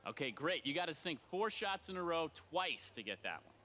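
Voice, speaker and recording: Carnival barker: slick, showy flatterer, wildly animated delivery, screen drama. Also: male voice, fairly high pitch, fairly quick, muffled recording, a little hiss or hum. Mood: showy, teasing. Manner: showy sales patter, announcing shots. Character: slick, fast-talking, flattering carnival game barker man. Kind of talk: naturalistic screen acting.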